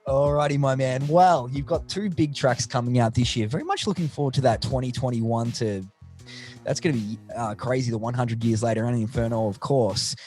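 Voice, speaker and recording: A man's voice: medium pitch, brisk, darkish tone, soft background music. A man hosting a casual interview over a video call, upbeat and chatty with stock phrases. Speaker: interview host.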